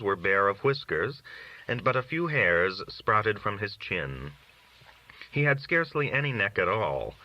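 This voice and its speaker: A man reading literary short stories aloud as scripted narration. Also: lowish voice. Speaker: audiobook-style reader of short stories. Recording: dull, lo-fi recording.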